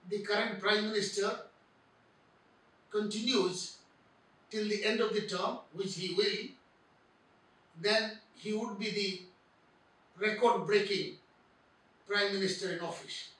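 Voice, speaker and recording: A middle-aged man giving measured political commentary to camera, speaking deliberately with pauses, seated indoors by a window. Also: high voice, sharp tone, far from the mic. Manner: deliberate, slow phrasing with pauses and fillers. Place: indoor room by a frosted window. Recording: very echoey room, mic set back.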